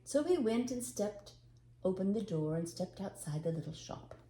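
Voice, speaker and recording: Mellow older woman telling a story, roomy. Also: mid-range voice, expressive, moderate pace, a little hiss or hum. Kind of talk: expressive storybook narration.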